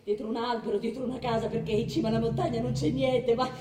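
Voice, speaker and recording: Woman playing a high-voiced, relentless scheming queen, echoey hall. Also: steady tone, fast talker, dark tone, close to an everyday voice. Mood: menacing. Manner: insistent, goading, repeating phrases. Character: scheming queen, mother plotting against her own son, relentless. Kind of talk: theatrical stage drama acting. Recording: distant, echoey hall with background noise.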